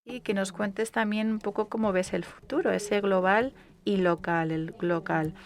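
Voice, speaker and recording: A woman, interpreting live on stage in a lively, expressive way. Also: medium pitch, a little slow.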